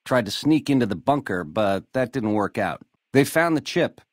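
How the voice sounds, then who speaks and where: An actor playing a slick, cocky American casino gangster boss in a video game, swaggering through retro lounge slang. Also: male voice, medium pitch, lively intonation, normal speed, no room sound, clean studio sound, natural voice. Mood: boastful, reminiscing. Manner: swaggering retro lounge-lizard slang, calls people baby. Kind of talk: video game character dialogue.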